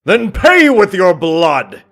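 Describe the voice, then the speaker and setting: A man's voice: sits high, sing-song, takes it slowly, vocal fry, a bit bright, soft background music, pitched above the performer's own voice, heavily acted. Man playing a zealous, commanding armored legionnaire. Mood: stern, threatening.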